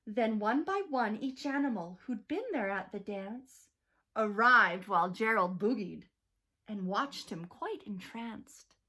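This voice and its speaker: A woman teacher reading a rhyming picture book aloud to children, slow and expressive. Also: medium pitch.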